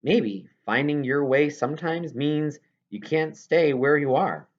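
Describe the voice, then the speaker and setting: A man's voice: on the high side, measured pace. A man reading a first-person children's novel aloud as scripted narration, in a dull, muffled recording. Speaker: audiobook reader.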